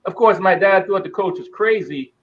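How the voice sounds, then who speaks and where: A middle-aged man tells stories and acts out the voices of the people in them. His voice sits slightly high. The sound is a little dull and muffled. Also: normal speed. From Bahamas. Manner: animated storyteller acting out quoted voices. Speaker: guest, former basketball player. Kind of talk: storytelling interview.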